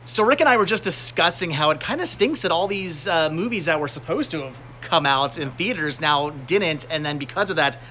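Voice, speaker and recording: High, bright-voiced American man vlogging. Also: expressive, a little fast, few pauses. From United States. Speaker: host of a movie-collecting video channel. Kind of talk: casual shopping vlog narration. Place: on location at a flea market and store.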